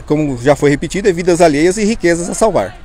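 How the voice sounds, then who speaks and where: A man in his forties with a Brazilian accent, a firefighter officer answering an interviewer haltingly, repeating words as he goes. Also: fairly high pitch, a little mellow. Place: outdoors in front of a fire station. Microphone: small mic held at chest level by interviewer. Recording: outdoor street ambience noise.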